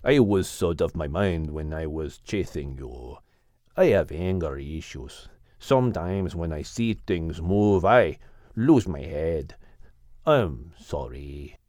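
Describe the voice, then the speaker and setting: A man voicing a massive but gentle, sheepish bull with a deep voice and a Spanish accent in an audiobook animal story. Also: lively intonation, slow pace, no room sound, studio quality, full character voice. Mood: sheepish, apologetic.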